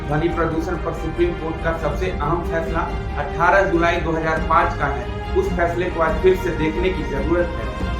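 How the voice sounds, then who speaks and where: Male news commentary narrator, higher voice, formal and emphatic delivery, music underneath. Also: fairly bright tone. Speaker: presenter. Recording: roomy echo with music behind. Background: background music.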